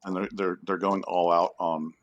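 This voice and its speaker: Man thinking aloud, lowish voice. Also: flat delivery, moderate pace, muffled recording, slight background noise. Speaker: podcast guest, academic. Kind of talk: casual panel discussion of a fantasy series.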